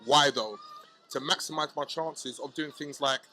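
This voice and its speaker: A man chatting casually as a hip hop artist guest, animated and slangy, often asking if you know what he's saying. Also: a little high, normal speed, a little hiss or hum. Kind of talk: casual podcast chat.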